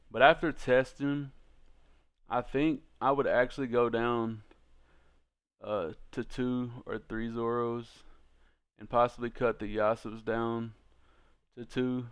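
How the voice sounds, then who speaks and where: Clear-voiced man in casual game commentary. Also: mid-range voice. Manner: informal, slangy, addressing viewers as crew. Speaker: card game content creator and host.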